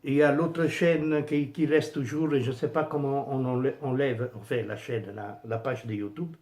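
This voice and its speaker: A middle-aged man with an Italian accent, speaking French as a second language, casually vlogging about his pin collection with many pauses and fillers. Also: medium pitch, fairly even intonation, darkish tone. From Italy. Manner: hesitant, many fillers and restarts, searching for words. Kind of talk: casual unscripted vlog.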